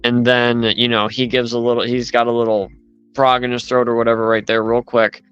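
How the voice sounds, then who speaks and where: A man's voice: mid-range voice, flat delivery, brisk, a bit bright, clear, resonant tone, muffled recording, soft background music. A man in casual chat, rambling as he retells events with lots of asides.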